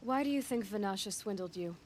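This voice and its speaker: A young huntress from a video game, played by a woman, with a mid-pitched voice. She is direct, questioning and determined, speaking plainly over music. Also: lively intonation, fairly quick, a little mellow, slight background noise, lightly acted. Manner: plain, direct, matter-of-fact. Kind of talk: video game cutscene dialogue acting.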